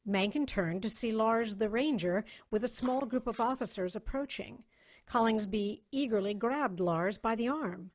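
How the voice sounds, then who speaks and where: A woman's voice: a little high, moderate pace. A woman narrating a science fiction story as a scripted dramatic reading.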